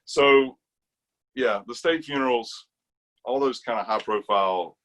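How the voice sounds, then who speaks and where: A middle-aged American man telling stories casually in conversation, animated and colloquial. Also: medium pitch, unhurried. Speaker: interview guest, retired army chorus bass singer.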